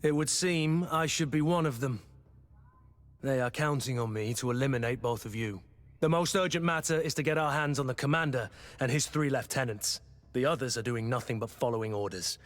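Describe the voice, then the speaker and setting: A man playing a gruff mercenary soldier in a fantasy video game. He is blunt and commanding, cynical yet loyal, with a middling pitch. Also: brisk, close to an everyday voice. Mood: earnest, urgent. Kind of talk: dramatic fantasy game acting.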